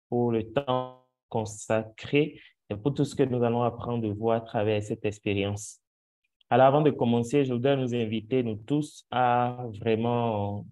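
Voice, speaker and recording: A man's voice: mid-range voice, mellow. A man formally welcoming students and a guest to an online masterclass, hesitant, with many fillers. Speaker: host, moderator. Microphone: video call.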